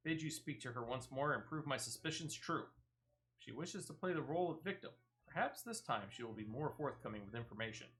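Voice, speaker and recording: A man's voice: mid-range voice, animated, fairly quick, fairly bright tone, lightly acted. A man voicing a stern, dutiful guard officer from a fantasy video game, with formal and earnest phrasing. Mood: earnest, grave. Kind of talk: video game dialogue read aloud.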